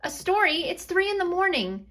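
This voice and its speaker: A high, girlish young bunny big sister, acted by a woman for a children's bedtime story. She is caring and patient, talking in a childlike, reassuring way. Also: sing-song, normal speed, bright, forceful tone, heavily acted.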